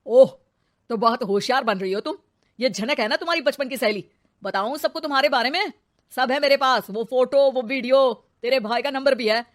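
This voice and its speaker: A woman's voice: high-pitched, moderate pace, pitched above the performer's own voice, lightly acted. A woman voicing an angry, blustering male swindler in a story, taunting and threatening.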